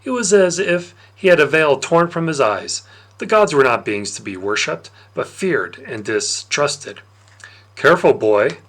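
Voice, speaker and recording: A man narrating a novel aloud in an expressive storytelling style. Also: fairly high pitch, unhurried.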